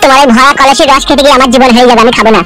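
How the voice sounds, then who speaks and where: A man's voice: very high voice, fairly even intonation, very fast, over music. A man voicing a timid, naive, protesting married simpleton who frightens easily, in a comedy cartoon. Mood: fearful protest. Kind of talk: amateur cartoon comedy dubbing.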